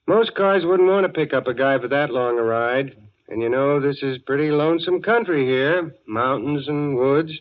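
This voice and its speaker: An actor playing a folksy, chatty American gas station attendant in a 1940s radio drama. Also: male voice, medium pitch, normal speed, natural voice. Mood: easygoing. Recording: dull, noisy old radio recording.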